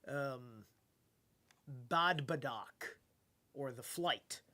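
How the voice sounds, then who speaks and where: A man's voice: medium pitch, very slow, bright, forceful tone. A tired man with a stuffed-up nose, rambling loosely in casual late-night stream chat and swearing now and then. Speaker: live streamer.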